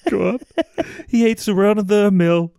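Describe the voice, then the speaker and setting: A man's voice: sits high, steady tone, moderate pace, vocal fry, dark tone, smooth, no echo, studio quality. A man hosting a podcast, chatting casually and teasing playfully with some swearing as he runs a word game. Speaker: podcast host. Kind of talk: casual banter over a word guessing game.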